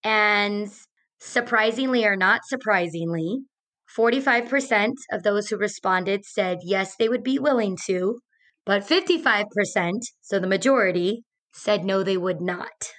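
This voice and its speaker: A woman's voice: mid-range voice, unhurried, fairly bright tone. A woman co-hosting an education podcast, chatting casually with a strained voice. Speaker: podcast co-host, teacher.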